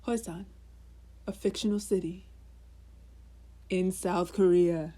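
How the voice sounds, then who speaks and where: Slow-talking woman in casual chat. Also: on the low side, steady tone, mellow. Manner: unhurried, teasing, lots of fillers. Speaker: podcast co-host.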